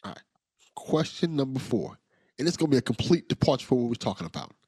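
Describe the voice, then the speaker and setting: Brief: eager male podcast host, higher voice with lively pitch, stutters on restarts, dry close sound. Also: quick pace, husky, no room sound. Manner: enthusiastic, repeats words as he starts sentences. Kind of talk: casual interview chat.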